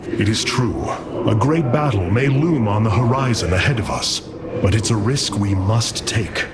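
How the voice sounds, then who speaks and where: Man voicing a low, solemn alien warrior leader. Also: lively intonation, normal speed, heavy background noise, close to an everyday voice. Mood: solemn resolve. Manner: solemn, stately, commanding. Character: noble, resolute male alien warrior leader. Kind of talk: dramatic video game cutscene acting. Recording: loud orchestral game score behind. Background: loud cinematic music.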